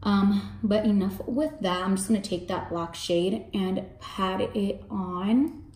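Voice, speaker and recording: Young woman, mellow and a touch raspy, casual slangy beauty-vlog chat at home, echoey room. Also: slow. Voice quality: slightly raspy, deep for a woman. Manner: chatty, slangy, candid asides to viewers. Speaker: makeup artist and beauty vlogger. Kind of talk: casual makeup tutorial. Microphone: camera in front, face framed close.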